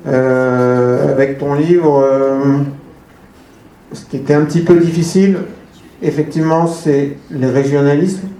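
A man's voice: fairly high pitch, steady tone, measured pace, warm tone, roomy sound. A man who translates books, talking at a panel in a hesitant way with many restarts. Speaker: literary translator. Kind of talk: panel discussion.

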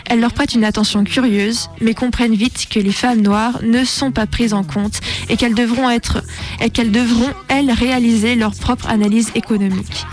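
A woman radio presenter reads a scripted documentary. Her voice sits fairly high, and music plays lightly behind her. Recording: music under the voice.

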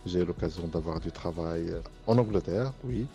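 A middle-aged man from Morocco, an Arabic speaker, giving an earnest, measured interview as a university professor, often pausing on hesitant fillers. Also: deep voice, mellow, faint music behind. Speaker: university professor and engineering director. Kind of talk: interview testimony for a student podcast.

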